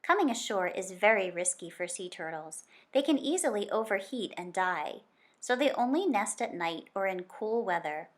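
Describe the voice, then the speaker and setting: Woman, medium-pitched voice, teacher reading aloud, expressive storybook delivery, deliberate phrasing, drawn-out key words. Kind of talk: expressive picture-book read-aloud.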